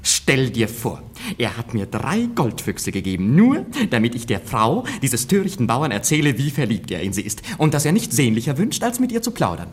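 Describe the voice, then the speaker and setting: A self-satisfied schemer and go-between in a radio play. The voice is husky and swings up and down eagerly. He chatters like a conspirator who is sure he is very clever. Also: mid-range voice, wildly swooping pitch, normal speed. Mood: gossipy, pleased. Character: cocky, gossipy male go-between, foolishly boasting of his cunning. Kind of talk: German radio play acting.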